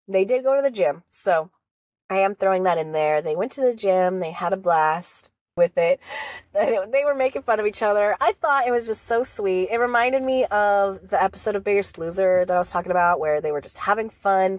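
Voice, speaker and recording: A woman's voice: mid-range voice, moderate pace, no room sound. Sniffly, chatty woman recapping a show. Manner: rambling, chatty, warm encouragement. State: sniffly with a cold. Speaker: reality show reaction vlogger. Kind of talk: casual recap vlog.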